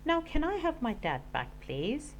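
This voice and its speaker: A woman's voice: high voice, wildly swooping pitch, a little slow, a little hiss or hum, pitched above the performer's own voice, exaggerated voice. A woman voicing an earnest young boy in a children's storybook. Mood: polite, earnest. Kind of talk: storybook character voice.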